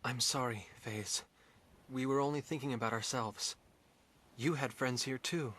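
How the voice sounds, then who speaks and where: A man's voice: mid-range voice, measured pace, a bit bright. A man playing an earnest, hot-blooded young crew leader in a video game. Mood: concerned. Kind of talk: dramatic video game acting. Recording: game music behind the voice.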